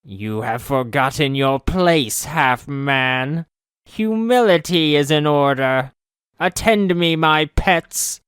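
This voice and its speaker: A man's voice: on the high side, slow, a bit bright, clean tone, dry recording, pitched above the performer's own voice. A man voicing an ancient, possessive female witch who croons and cackles with imperious menace.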